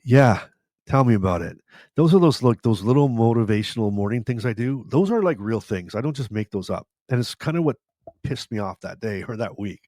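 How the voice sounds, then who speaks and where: An older man hosting a live question-and-answer podcast, rambling and talking straight to his listeners, his voice a little tired and raspy from a long day of talking. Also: mid-range voice, lively intonation, a little fast, no echo, clean studio sound. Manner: rambling, warm-hearted, talks straight to viewers. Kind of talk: live Q&A chat. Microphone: studio microphone with headphones.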